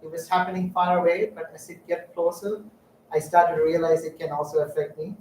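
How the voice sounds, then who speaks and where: A middle-aged man from Sri Lanka telling personal stories in a public talk, halting and reflective with frequent fillers. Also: a little high, flat delivery, a little mellow, a little hiss or hum. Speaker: guest speaker. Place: lecture room. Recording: echoey room, distant mic.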